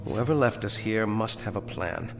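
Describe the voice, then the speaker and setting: An actor playing a restless army major in a radio drama, brusque and insistent. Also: male voice, lowish voice, lively intonation, moderate pace, vocal fry, music in the background.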